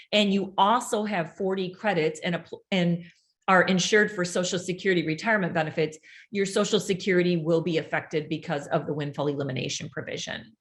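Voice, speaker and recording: Upbeat woman presenting a seminar. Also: fairly low pitch. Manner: brisk, upbeat, explanatory, reads out audience questions. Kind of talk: informational presentation.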